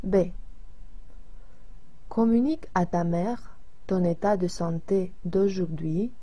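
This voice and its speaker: A woman narrating a language lesson, reading instructions and exercises slowly and evenly. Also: fairly low pitch, dark tone.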